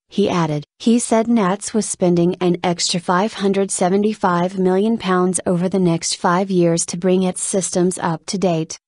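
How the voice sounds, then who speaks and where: Lower-voiced woman reading news, dry sound. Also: darkish tone, no room sound. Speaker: news article reader. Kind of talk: scripted narration of a written news article.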